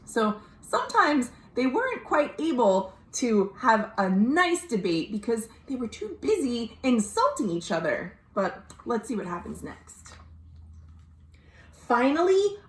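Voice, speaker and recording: A woman librarian reading a story aloud to children in an expressive way, pausing to explain things simply. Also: fairly high pitch. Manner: expressive, addressing young listeners directly. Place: indoors at home. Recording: roomy, echoey home recording.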